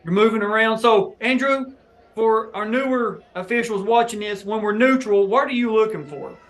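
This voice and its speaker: Higher-voiced man coaching new officials. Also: animated, normal speed, a bit bright. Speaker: host reviewing match footage. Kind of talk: instructional match breakdown for officials.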